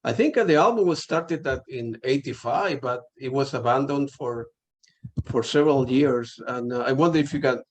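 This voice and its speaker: A man's voice: mid-range voice, normal speed, slight background noise. Man asking rambling questions. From Chile. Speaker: interviewer. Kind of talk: casual interview talk.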